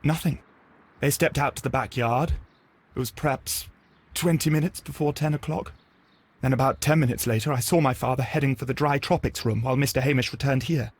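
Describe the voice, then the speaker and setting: An actor playing an earnest, flustered young gardener in a video game, with a husky voice and hesitant delivery. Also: male voice, mid-range voice, steady tone, brisk. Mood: earnest, troubled. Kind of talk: scripted game dialogue, giving testimony.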